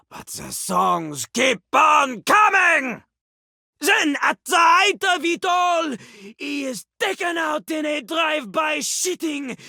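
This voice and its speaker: Husky, swooping, dramatic French lothario. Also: female voice, on the high side, bright, forceful tone, dry recording, studio quality, heavily acted. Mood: excited, bombastic. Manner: grandiose, flirtatious, speaks of self in third person. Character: vain, dramatic French toilet, a male aspiring rapper. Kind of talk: theatrical video game character acting.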